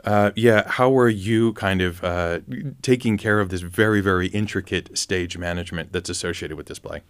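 A man works as an interviewer in a relaxed conversational format. His voice is deep and smooth, with a clear resonant tone. His phrasing is spontaneous rather than read. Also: normal speed.

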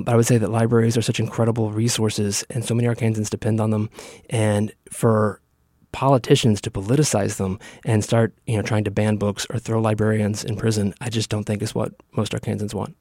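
An American man speaks quickly in a fairly low, even voice. He is a politician answering interview questions with his opinions, and the sound is dry. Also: dry recording. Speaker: state senator being interviewed.

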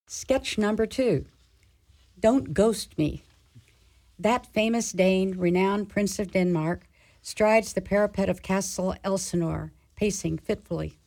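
A woman's voice: medium pitch, takes it slowly, a little mellow. A woman narrating scenes in a radio drama, reading scripted introductions.

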